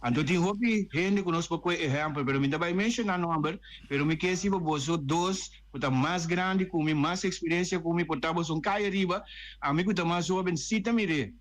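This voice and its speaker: A man speaking on a radio call-in, halting and searching for words. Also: on the high side.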